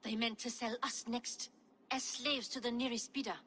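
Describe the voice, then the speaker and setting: A woman's voice: a little high, fairly even intonation, moderate pace, fairly bright tone, natural voice. An actress playing a tough, resourceful woman merchant with a direct, assertive way of speaking in a game. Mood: defiant, frank.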